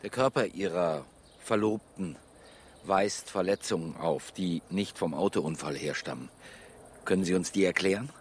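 Low-voiced, sober police inspector played by a man. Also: moderate pace, a little hiss or hum, lightly acted. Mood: stern, official. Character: sober, persistent male police chief inspector. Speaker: actor in a radio crime drama. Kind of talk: naturalistic German radio drama acting.

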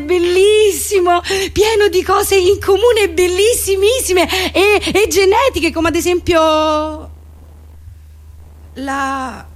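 A woman performing a comic monologue in a theatrical, mock-solemn, exaggerated way. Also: high voice. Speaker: actress and comedian performing her own monologue.

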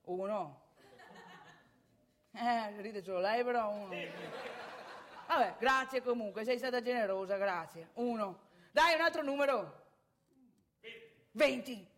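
A woman playing an excitable, crude, boastful provincial man in a live comic theatre monologue, repetitive and chatty. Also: fairly high pitch, a little slow, long pauses, close to an everyday voice. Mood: chummy, boastful. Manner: repetitive, emphatic, chatty, addressing listeners directly. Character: excitable, crude, boastful male strip-club regular from provincial northeast Italy.

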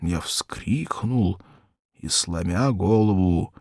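A man narrating a first-person story as an audiobook, theatrical and wry, with deliberate pauses. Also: sits low, darkish tone, no echo, clean studio sound. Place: studio.

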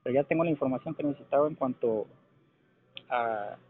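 A Mexican man making a call to argue a point, speaking insistently and haltingly, often repeating phrases for emphasis. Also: mid-range voice. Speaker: caller. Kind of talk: phone complaint, argumentative questioning. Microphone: telephone. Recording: narrow, tinny telephone call recording.

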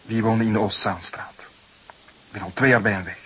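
An actor playing a desperate, defensive young murder suspect of about twenty, nervy and halting under interrogation in a crime drama. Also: male voice, lowish voice, steady tone, a bit bright, highs cut off. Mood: subdued, guarded. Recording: clearly hissy background noise.

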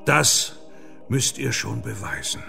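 Stern historical investigator for radio drama; deeply creaky, probing delivery over faint music. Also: male voice, sing-song, slow pace, pushed and bright, exaggerated voice. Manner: insistent, pointed questioning. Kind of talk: German radio play acting.

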